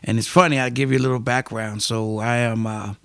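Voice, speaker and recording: A man's voice: medium pitch, fairly even intonation, fairly quick, gravelly, a bit bright, clear, resonant tone, no echo. A middle-aged American man with a New York accent, telling his life story as an interview guest, thoughtful and full of fillers. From United States. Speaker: guest poet and musician.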